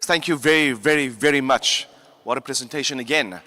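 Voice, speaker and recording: A man hosting a pitch competition, introducing presenters with enthusiastic praise. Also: a little fast, bright, forceful tone. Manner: enthusiastic, effusive. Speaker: event host. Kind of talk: emcee announcements. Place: large hall. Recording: long hall reverb.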